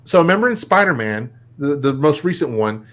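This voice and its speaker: A man's voice: fairly high pitch, sing-song, a little fast, fairly bright tone. A man hosting a live web show, chatting casually with frequent restarts. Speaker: host. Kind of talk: casual chat.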